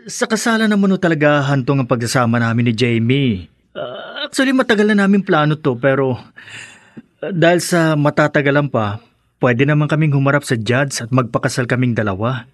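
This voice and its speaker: A man's voice: medium pitch, animated, brisk, no echo, studio quality, close to an everyday voice. A man acting in a Filipino radio drama as an earnest young newlywed, a conciliatory son and brother. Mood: nervous, pleading.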